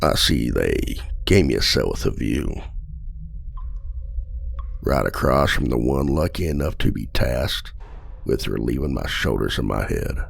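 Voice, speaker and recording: A man's voice: slight background noise, heavily acted. A man playing a charming imprisoned male outlaw trickster in an ASMR roleplay, teasing and flirtatious in a silky voice. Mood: taunting. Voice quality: deep gravelly voice.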